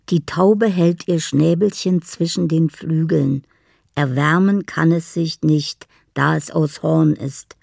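An actress playing a dreamy older woman lost in poetic fantasy and memories in a radio drama, speaking slowly and haltingly. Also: deep voice, creaky, warm tone, dry recording, clean studio sound, exaggerated voice. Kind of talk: radio drama monologue, reading out love letters.

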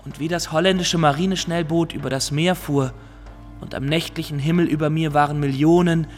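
Actor as sober higher-voiced male narrator. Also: steady tone, fairly quick, faint music behind, close to an everyday voice. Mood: sober, reflective. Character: sober male first-person narrator recounting his ordeal. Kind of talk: scripted radio drama narration.

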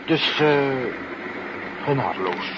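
A man's voice: mid-range voice, animated, slow. A man acting a pompous, flustered senior official in a Dutch radio play, blustering and then dithering. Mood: pompous resolve. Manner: blustering, hesitant, rhetorical. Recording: muffled, noisy old radio play.

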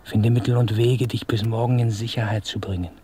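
An actor playing a man's coolly reasoning, insistent inner voice in an old German radio crime drama, measured and persuasive. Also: mid-range voice, flat delivery, over music, natural voice. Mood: cool persuasion. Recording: noisy vintage radio play recording.

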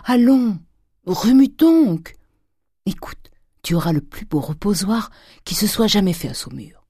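A woman storyteller acts out an old miser of a father from a storybook. He wheedles and blusters in short, excitable exclamations. The voice jumps high and loud, recorded close and dry. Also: a little slow, husky, dry recording, heavily acted. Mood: frantic coaxing.

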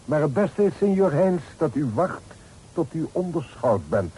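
An actor playing a formal, guarded father who rents rooms, in a measured, haughty radio drama style. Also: on the high side, very animated, mellow, husky, heavily acted. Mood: cold, defiant. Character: dignified, guarded father renting rooms, coldly defiant. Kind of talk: Dutch period radio drama acting. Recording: noisy old radio play recording.

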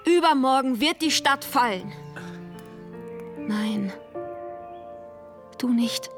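High-pitched radio-drama rebel woman, insistent and provocative, music underneath. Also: expressive, slow pace. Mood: urgent, persuasive. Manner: emphatic, rhetorical, insistent. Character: fervent, persuasive female revolutionary leader, urgent and provocative. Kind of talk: German radio play acting. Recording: music behind the voice.

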